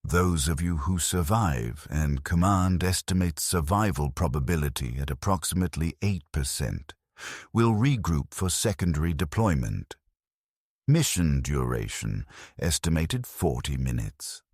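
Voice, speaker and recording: A man's voice: rumbling low, animated, unhurried, no echo, clean studio sound, full character voice. A man narrating a story as a callous military commander, briefing troops in a clipped, commanding, unfeeling manner. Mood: cold, commanding.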